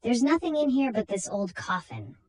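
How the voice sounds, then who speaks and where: A woman voicing an excitable, blunt male cop in a storybook reading, with exclamatory delivery. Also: on the high side, normal speed, voice pushed up. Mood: startled, perplexed.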